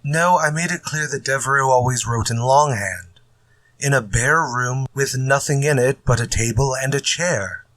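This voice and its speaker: An actor playing an exasperated, fretful mystery writer in a retro radio drama. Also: male voice, medium pitch, moderate pace, fairly bright tone, no room sound, lightly acted. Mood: frustrated. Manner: fretful, muttering to himself when stuck. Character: exasperated male mystery writer stuck on his story.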